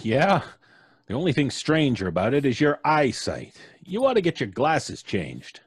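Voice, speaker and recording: Wry, animated radio-play cop. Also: male voice, medium pitch, unhurried, husky, no echo, studio quality, full character voice. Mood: scornful, wry. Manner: colloquial, wry, placating. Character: skeptical, blunt, streetwise male police officer. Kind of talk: old-time radio suspense acting.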